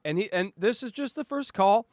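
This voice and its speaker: Podcast host guy, highish voice, swears a lot, rambles, dry close sound. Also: lively intonation, moderate pace, darkish tone, no room sound. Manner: loose, profane, rambling. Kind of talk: casual reaction podcast chat.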